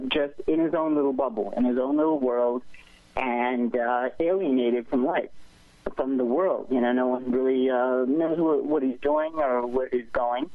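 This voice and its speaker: A man's voice: mid-range voice, dry recording. A man from Sri Lanka, a film director, talking earnestly in a radio interview with many hesitant fillers. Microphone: telephone. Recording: dry tinny phone line.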